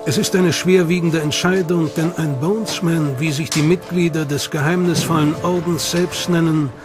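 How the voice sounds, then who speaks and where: A man narrating a documentary in a measured, dramatic way. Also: on the high side, fairly even intonation. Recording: narration over loud music.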